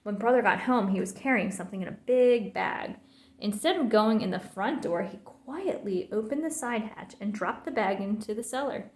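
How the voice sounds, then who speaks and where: A woman reading a children's story aloud in an expressive, theatrical way. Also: mid-range voice, normal speed. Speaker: storybook reader. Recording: roomy, echoing sound.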